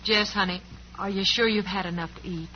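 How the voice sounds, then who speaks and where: A woman's voice: medium pitch, fairly even intonation, fairly quick, lightly acted. An actress playing a kind but firm motherly cowgirl with an American accent in an old-time radio drama. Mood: kindly. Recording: muffled, hissy old radio recording.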